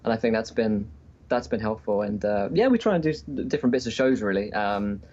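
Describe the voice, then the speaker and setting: Male podcast guest, lower register, conversational and hesitant, dull remote-call sound. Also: expressive, a little fast, darkish tone. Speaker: podcast guest, tabletop game streamer. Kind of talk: casual interview chat.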